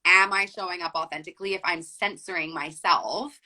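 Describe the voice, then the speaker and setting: A woman's voice: fairly low pitch, fairly even intonation, a little fast, bright, forceful tone. A young woman guest talking casually in an interview, full of "like" and "um" fillers. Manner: chatty, frequent "like" and "um" fillers. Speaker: podcast guest.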